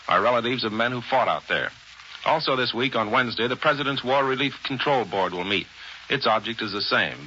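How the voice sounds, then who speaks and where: A male American news correspondent from 1940s radio, with a middle-pitched, very sharp voice. He reports formally and matter-of-factly. The recording is dulled by age and carries faint noise. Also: muffled recording.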